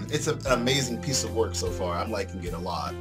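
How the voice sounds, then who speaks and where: A man giving casual let's play commentary and reading story text aloud, often halting and repeating phrases as he comments. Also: lowish voice, expressive. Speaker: gaming video host. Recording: music behind the voice. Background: game music.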